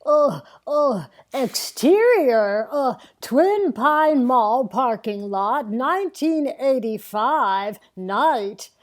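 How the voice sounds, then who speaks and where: A woman voicing a sweetly naughty elderly lady who narrates a comic script reading, halting and deliberate, with sly asides. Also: high voice, animated, heavily acted. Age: older. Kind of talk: screenplay table-read narration.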